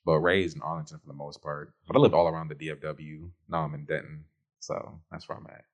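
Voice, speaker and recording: A young man from Texas, a rapper being interviewed on radio, talking in a quick, rambling way full of "like". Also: rumbling low, flat delivery. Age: young adult. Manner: quick, rambling, heavy use of "like". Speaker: rapper guest.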